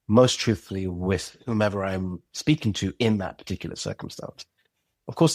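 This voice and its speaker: A man's voice: on the low side, no echo. A man who grew up in Zimbabwe, a podcast guest talking thoughtfully and searching for words, often restarting and checking "you know what I mean". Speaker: podcast guest, audio drama creator. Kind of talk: reflective interview conversation.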